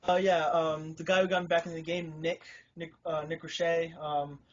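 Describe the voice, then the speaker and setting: Male guest, casual and hesitant, lots of ums, a slightly higher voice on dull, lo-fi audio. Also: level tone, moderate pace. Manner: modest and hesitant, with frequent fillers and laughter. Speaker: tournament winner being interviewed. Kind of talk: casual interview. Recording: lo-fi recording.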